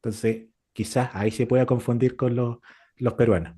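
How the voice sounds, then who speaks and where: Husky, mellow Chilean man. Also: mid-range voice, fairly even intonation, normal speed. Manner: explains with rhetorical questions and examples. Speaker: podcast co-host. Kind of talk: casual reflective conversation.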